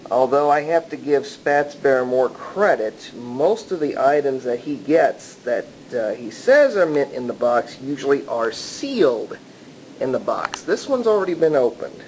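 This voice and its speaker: Man giving a demonstration, clearly noisy recording. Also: medium pitch, big pitch swings, measured pace, darkish tone. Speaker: collector showing a vintage radio. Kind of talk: casual hands-on show-and-tell narration.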